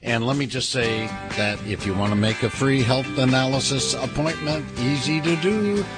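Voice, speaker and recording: A man's voice: mid-range voice, moderate pace, over loud music. A man hosting a live radio show, giving announcements and signing off in a conversational way.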